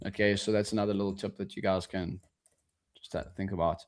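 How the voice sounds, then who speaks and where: A relaxed young man in his twenties, co-hosting a live markets stream. He talks loosely and casually, calls his co-host "bro" and often drifts off mid-thought. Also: low-pitched, fairly quick. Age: 20s. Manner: laid-back, says "bro" and "dude", trails off mid-sentence.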